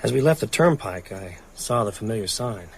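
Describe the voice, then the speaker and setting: An actor playing a grieving, haunted man in a horror audio drama, intense and halting under strain. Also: lowish voice, lively intonation, slight background noise. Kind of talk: audio drama acting with first-person narration.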